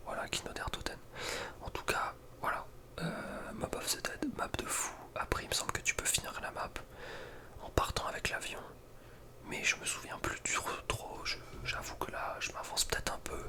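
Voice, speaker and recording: Whispering Frenchman, casual ASMR commentary. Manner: casual, slangy, hesitant asides. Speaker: ASMR content creator.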